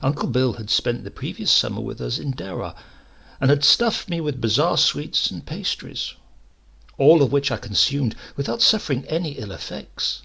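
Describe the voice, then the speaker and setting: Husky-voiced man narrating a story, gravelly edge. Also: medium pitch, animated, bright, forceful tone. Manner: measured, wry storytelling. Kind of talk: scripted literary narration.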